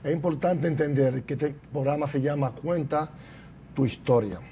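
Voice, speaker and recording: A man's voice: on the high side, normal speed, warm tone, a little hiss or hum. A man who is a pastor hosting a church testimony program, speaking in a formal, preacherly way. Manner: preacherly, formal, interjects praise. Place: church building.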